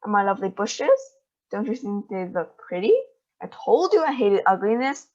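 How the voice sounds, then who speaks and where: A woman's voice: medium pitch, big pitch swings, normal speed, a little mellow. A woman voicing an eccentric, boastful male chocolate factory owner in a storybook reading. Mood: exuberant. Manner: exuberant, boastful, theatrical. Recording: dull lo-fi recording.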